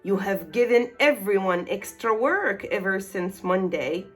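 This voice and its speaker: A woman's voice: medium pitch, lively intonation, a little slow, faint music behind, close to an everyday voice. A woman voicing a stern, commanding desert genie from a storybook, questioning and scolding with emphasis.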